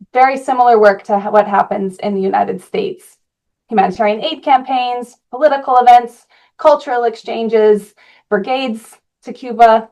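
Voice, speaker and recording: A woman from Colorado, an activist guest, answering interview questions earnestly, with frequent "uh" pauses. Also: mid-range voice, a little mellow.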